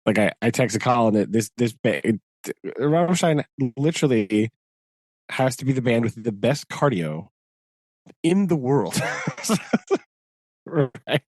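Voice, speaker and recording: A man's voice: medium pitch, animated, slow pace, pauses often, darkish tone, no echo. A man co-hosting a podcast in casual chat, loose and full of fillers, often laughing. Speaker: podcast co-host.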